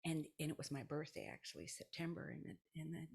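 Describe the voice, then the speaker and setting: A woman in her sixties with an American accent and a low-pitched, slightly rough voice. She chats casually through her own stories, circling back, breaking off sentences and voicing what others said. She comes across as a guest in a relaxed conversation. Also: brisk. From South Dakota, United States. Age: 60s. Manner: rambling, self-interrupting, anecdotal with quoted dialogue. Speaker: podcast guest, retired kindergarten teacher.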